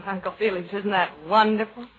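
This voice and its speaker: A woman's voice: medium pitch, flat delivery, moderate pace, lightly acted. An actress playing a flustered young woman bluffing as a housewife, stammering nervously in old radio comedy style. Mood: polite, charmed. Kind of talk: 1940s radio comedy acting. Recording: muffled, noisy old radio broadcast.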